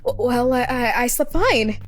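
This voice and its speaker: A woman's voice: fairly high pitch, animated, moderate pace, natural voice. A woman voicing a shy, nervous candy shop keeper in a dating game, hesitant and stammering. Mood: nervous, evasive. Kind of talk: dating simulator voice acting.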